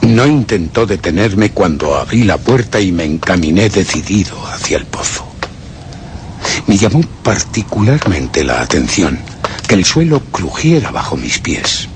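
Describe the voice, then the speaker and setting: Low-voiced, haunted gentleman narrator. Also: big pitch swings, a little slow, a little hiss or hum. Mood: grave, brooding. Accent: Castilian Spanish. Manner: grave, suspenseful, theatrical. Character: haunted, obsessive gentleman narrator, master of a servant. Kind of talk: dramatic first-person horror radio play narration. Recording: dramatic music behind the voice.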